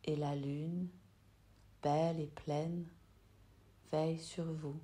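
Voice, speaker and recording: Slow, soft-spoken woman guiding meditation. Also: sits low, little pitch movement. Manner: soft, soothing, unhurried with long pauses. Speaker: yoga nidra teacher. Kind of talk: guided meditation.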